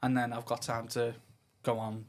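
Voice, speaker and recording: A man from Liverpool speaking as a podcast guest, candid and informal, a little halting as he talks about himself. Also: medium pitch, completely flat delivery. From Liverpool, England. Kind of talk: casual personal chat.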